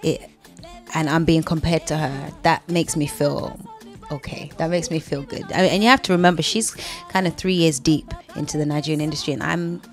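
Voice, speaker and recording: A young woman with a London accent chats freely in an interview. Her voice sits low and she tells her stories with plenty of restarts. Soft music runs faintly behind her. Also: fairly even intonation. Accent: London English. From London, England. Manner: chatty storyteller, quick to laugh, talks through restarts. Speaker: singer being interviewed. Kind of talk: casual interview chat.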